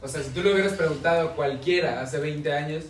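A man's voice: a little high, quick pace, far from the mic, a loud crowd. A man with a Mexican accent in casual chat, telling stories with plenty of slang and swearing. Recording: roomy, from off mic, faint noise.

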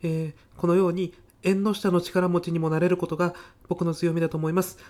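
A man's voice: high voice, flat delivery, fairly quick, a little mellow, lightly acted. A man plays a nervous male student in a comedy radio sketch. He speaks with stiff, over-polite earnestness, hesitating as if reciting prepared answers. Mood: earnest, nervous. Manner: stiff, hesitant, rehearsed-sounding polite speech. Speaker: job applicant. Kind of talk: audio comedy sketch acting.

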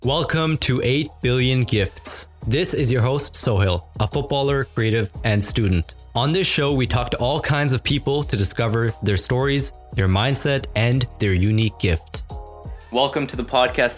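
A young adult man with a mid-range voice hosts an interview podcast. He opens with a tidy, upbeat introduction and moves into easy, friendly questions for his guest. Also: medium pitch. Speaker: podcast host.

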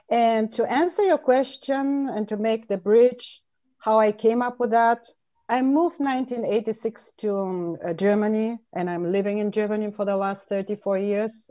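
A Bulgarian-born woman in her fifties, an interview guest speaking in a second language and telling her life story in an earnest, measured way. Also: medium pitch, mellow, no room sound, lo-fi sound. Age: 50s.

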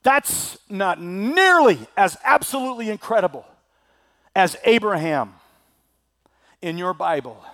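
A male preacher with a slightly scratchy throat, delivering a sermon that swings from loud declaiming to quiet asides. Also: sits high, sing-song, a little slow, pauses often.

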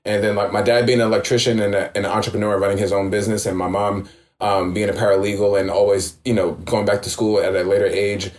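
A man in his thirties from New York, talking casually as an interview guest. His voice sits low, and his words ramble loosely, with plenty of "like" and "you know." The room gives his voice a noticeable echo. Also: quick pace. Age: 30s. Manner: relaxed, filler-heavy, reflective. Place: home room.

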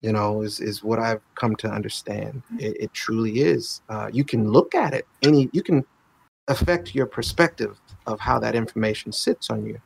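A man in his late thirties talking reflectively in a conversation, thoughtful and halting, with frequent restarts and filler phrases. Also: fairly low pitch, gravelly, a little hiss or hum, poor audio. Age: 30s.

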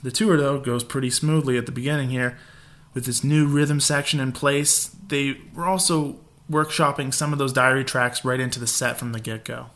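A man's voice: mid-range voice, steady tone, normal speed, fairly bright tone. Irreverent, profane podcast host, a man. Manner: irreverent, profane, laughing asides. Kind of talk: casual podcast monologue.

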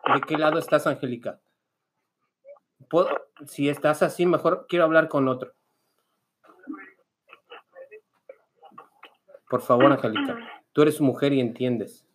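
A man's voice: fairly high pitch, normal speed, long pauses, a little hiss or hum, close to an everyday voice. A man improvising a fed-up, stubborn, nagging partner on a prank phone call, scolding and insisting. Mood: demanding. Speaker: podcast host making a prank call.

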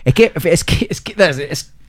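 A man whose first language is not Spanish, co-hosting a podcast and reacting excitedly, with stammered restarts and casual swearing. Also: on the high side, measured pace, crowd noise. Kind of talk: casual chat.

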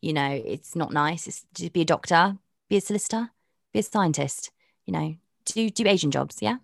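A woman's voice: on the low side, normal speed, creaky, no echo, studio quality. A young woman from Pakistan, a media presenter, talking frankly and chattily in an interview, thinking aloud with fillers. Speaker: presenter and dating podcast host, guest. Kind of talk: candid interview conversation.

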